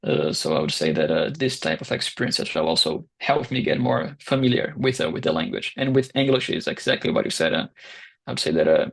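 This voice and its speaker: Young man, gravelly and lively. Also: rumbling low. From Brazil. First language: Portuguese. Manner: hesitant, many pauses and restarts. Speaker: guest founder. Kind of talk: casual interview answers.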